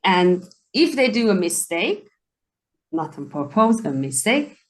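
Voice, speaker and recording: A woman's voice: on the low side, very animated, measured pace, rough recording. Woman searching for her words. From Hungary. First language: Hungarian. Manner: hesitant, searches for words, apologetic. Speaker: podcast co-host. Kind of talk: casual chat.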